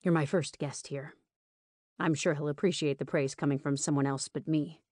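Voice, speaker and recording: Woman voicing a guarded, low-voiced man confiding haltingly. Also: fairly even intonation, a little mellow, no echo, studio quality. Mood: courteous, low-key. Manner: cautious, reluctant, emphasizing words. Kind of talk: audiobook character dialogue.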